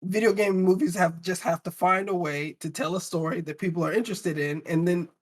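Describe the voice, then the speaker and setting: Male, slightly high register, casual panel debater, emphatic and argumentative. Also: brisk. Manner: emphatic, argumentative, rhetorical questions. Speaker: podcast panelist.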